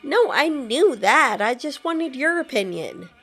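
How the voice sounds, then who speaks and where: A woman's voice: high voice, big pitch swings, a little slow, a bit bright, soft background music, exaggerated voice. Woman voicing an earnest, curious wanderer. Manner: inquisitive, earnest questioning. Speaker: player character. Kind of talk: fan-voiced game dialogue.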